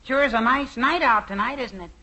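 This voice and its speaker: A man's voice: extremely high pitch, a little fast, pushed and bright, lo-fi sound, full character voice. An actor playing an awkward, hopeful teenage boy in a 1950s radio sitcom. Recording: old radio recording, faint noise.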